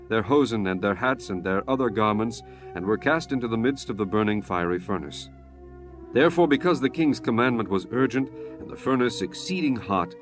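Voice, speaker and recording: A man narrating scripture from a script in a measured, solemn, formal manner. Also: on the low side. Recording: music bed behind the voice.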